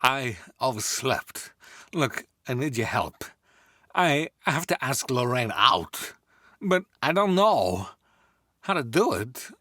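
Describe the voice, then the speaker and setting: A man voicing an awkward, nervous, eccentric oddball in a comic table read, with halting delivery and odd stresses. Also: mid-range voice, big pitch swings, bright, forceful tone, no room sound, clean studio sound, heavily acted. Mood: earnest, awkward.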